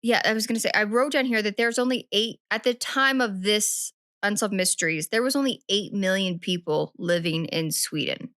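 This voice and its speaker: A woman's voice: medium pitch, normal speed, few pauses, fairly bright tone, no room sound. Chatty woman podcast co-host, dry sound. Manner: chatty, rambling, self-correcting. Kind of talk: casual true-crime podcast chat.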